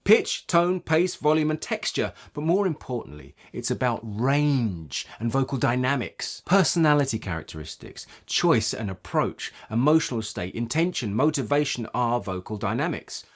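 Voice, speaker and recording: Expressive male voice coach with animated intonation. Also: medium pitch, normal speed, few pauses. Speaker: voice coach presenting a tutorial. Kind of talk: instructional video explanation.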